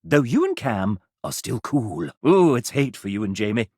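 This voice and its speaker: Video game role: theatrical, gushing dating-show host, hugely animated delivery, close dry studio sound. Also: male voice, mid-range voice, moderate pace, slightly rough, no echo. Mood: dramatic verdicts. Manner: showy, arch announcer delivery with dramatic swoops. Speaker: game host character announcing dating results.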